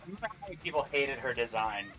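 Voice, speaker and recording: A man's voice: mid-range voice, big pitch swings, rapid-fire, over music. A man co-hosting a reaction show, giving casual commentary.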